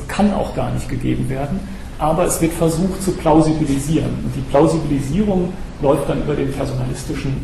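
A slightly hoarse man giving an academic lecture, speaking straight to his audience with frequent hesitation sounds and restarts. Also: on the high side, dark tone. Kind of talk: academic lecture on political theory. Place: lecture room. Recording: echoey room recording with background noise.

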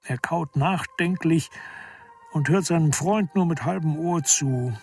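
A man narrating a literary radio drama with deliberate, dramatic phrasing. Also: on the high side, a little mellow, slightly rough.

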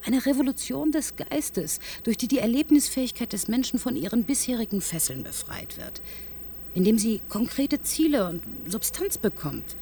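Impatient, defensive lady scientist for radio drama, pitch moves around a lot, slight background noise. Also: big pitch swings, moderate pace, warm tone. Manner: brusque, defensive, emphatic. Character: proud, impatient female scientist defending her visionary technology.

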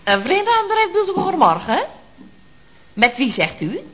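An actress playing a chatty, nosy, cheerful telephonist in an old radio comedy, with teasing, exaggerated intonation. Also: female voice, high-pitched, big pitch swings, a little slow, noisy background. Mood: surprised, puzzled. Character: chatty, nosy, cheerful female office telephonist at builder's firm. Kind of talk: 1970s radio comedy acting. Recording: dull vintage radio recording.

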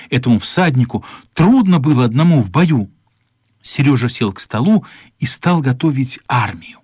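A husky-voiced man reads a children's story for a radio play. His delivery is lively and varied in pitch, with unhurried, dramatic phrasing. The sound is dry and close. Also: no echo. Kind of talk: scripted literary storytelling for children.

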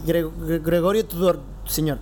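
A man's voice: fairly high pitch, fairly even intonation, moderate pace, a little mellow, slight background noise, close to an everyday voice. A man playing a nervous young actor who stutters on nearly every word in a comic radio drama.

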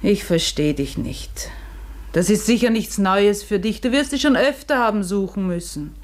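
A woman acting in a German radio play as a tender, concerned friend, gently soothing in a measured way. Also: mid-range voice, animated, natural voice.

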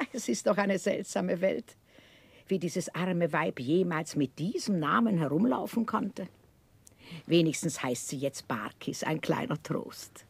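An actress playing a blunt, sharp-tongued but tender-hearted older aunt in a 1960s German radio play, probing and exclaiming. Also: female voice, fairly low pitch, expressive, a little slow, gravelly, breathy edge, no echo, heavily acted. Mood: wry, fond.

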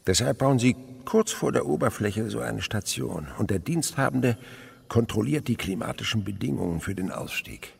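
An actor playing an older naturalist uncle in a radio play, eager and tender, explaining with hushed wonder. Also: male voice, fairly low pitch, expressive, moderate pace, creaky, breathy edge, full character voice. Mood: hushed, absorbed. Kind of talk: German radio play acting.

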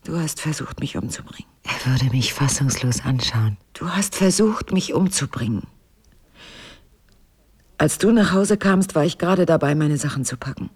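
Weary, guarded wife. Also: female voice, low-pitched, animated, slightly rough. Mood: guarded, strained. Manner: measured, evasive, dryly sardonic, often dropping to a hush. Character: guarded, weary wife burdened by a painful secret. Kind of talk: naturalistic German radio drama acting.

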